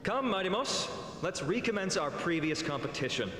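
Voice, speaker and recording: An actor playing a calm, teasing young heroic man with a measured, poetic delivery in a video game. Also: sits high, roomy sound, long reverb tail, a little hiss or hum, full character voice. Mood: wry, teasing. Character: calm, wry young heroic man who teases his rival.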